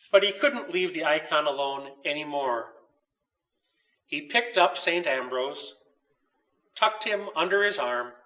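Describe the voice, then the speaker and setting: A man reading his own scripted children's story as narrator, deliberate and expressive. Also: on the high side, recorded from a distance, poor audio.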